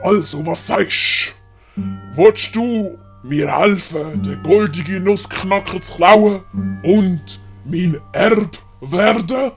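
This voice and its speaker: A woman with a Swiss German accent voicing a scheming, wheedling older great-uncle in an audio story, gravelly and oily. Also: on the low side, slow, soft background music. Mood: persuasive.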